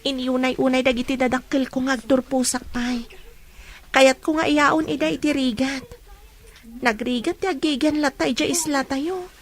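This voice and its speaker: A woman's voice: high-pitched, steady tone, normal speed, close to an everyday voice. A woman playing a dutiful, earnest young female student with an Ilocano accent.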